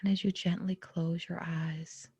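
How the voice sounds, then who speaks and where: A woman guides a meditation in a mellow, slightly low voice. Her tone is very breathy and soft, often close to a whisper, recorded dry. She gives gentle, unhurried instructions in short phrases with pauses between them. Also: little pitch movement, no echo.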